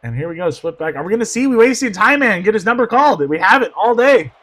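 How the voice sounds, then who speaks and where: A man doing play-by-play football commentary, going hoarse, who swings from quiet asides to shouted excitement. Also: high-pitched, fast.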